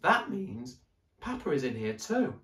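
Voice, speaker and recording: A man voicing a bold, clever young girl in a high, eager, emphatic voice, recorded in a roomy space some way off the mic. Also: measured pace, recorded from a distance, exaggerated voice. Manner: high, excited, emphatic delivery.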